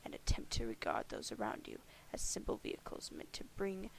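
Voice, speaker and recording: A woman's voice: a bass voice, very animated, fairly quick, gravelly, whispered, exaggerated voice. A woman voicing a haughty, imperious alien troll who scolds in formal, pompous language.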